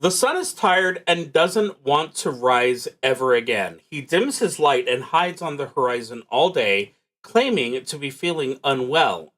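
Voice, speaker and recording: Slow-paced, musing man. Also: medium pitch, fairly bright tone, low-quality recording. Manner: halting, musing, trails off mid-thought. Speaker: streamer playing a solo tabletop roleplaying game. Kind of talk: unscripted solo game play narration, thinking aloud.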